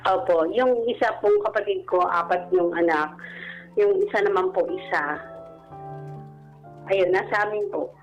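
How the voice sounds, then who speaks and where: A woman's voice: on the low side, measured pace, long pauses. A Filipino woman calling in to a radio show, telling a personal story politely and a little hesitantly. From Philippines. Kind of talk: personal story told in conversation. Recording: dull call audio, faint music behind.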